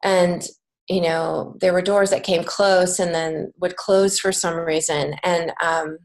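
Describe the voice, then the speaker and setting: Woman, American, slightly low voice; earnest podcast guest who thinks aloud in halting, conversational phrases. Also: steady tone. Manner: earnest, reflective, halting with many false starts. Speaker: podcast guest, children's author and creative writing teacher. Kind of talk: casual interview conversation.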